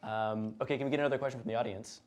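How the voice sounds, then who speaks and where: A man's voice: medium pitch, fairly even intonation, very fast. A man hosting a live fan Q&A on a concert stage, reading questions out and teasing the band. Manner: teasing, laughing, reads out questions. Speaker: Q&A host.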